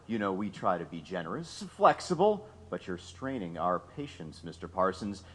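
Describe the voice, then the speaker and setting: A man's voice: deep voice, very animated, moderate pace. An actor playing a smug, imperious wealthy businessman in an audio drama, with a pompous, sardonic delivery. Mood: stern, impatient. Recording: long echoing reverb.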